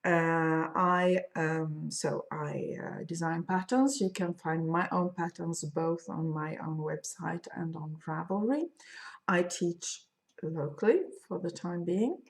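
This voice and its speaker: A woman in her fifties with a Swedish accent, a crochet designer chatting casually in her video podcast from a home studio, thoughtful with many pauses. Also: lowish voice, vocal fry. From Sweden. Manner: slow, thoughtful, with frequent pauses and fillers. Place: home studio room, indoors. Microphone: fixed camera at desk.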